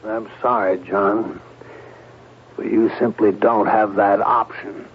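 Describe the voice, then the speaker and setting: An actor in a 1970s radio drama playing a middle-aged intelligence chief, measured, persuasive and authoritative. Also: male voice, lowish voice, fairly even intonation. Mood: firm, sympathetic. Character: firm, placating middle-aged intelligence boss. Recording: dull, hissy old radio broadcast.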